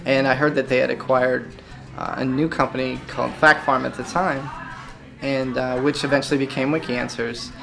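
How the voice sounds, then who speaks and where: A man's voice: medium pitch, little pitch movement, moderate pace, creaky, over music. A man from Chicago answering interview questions, hesitant with many ums and uhs. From Chicago, Illinois. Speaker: site volunteer.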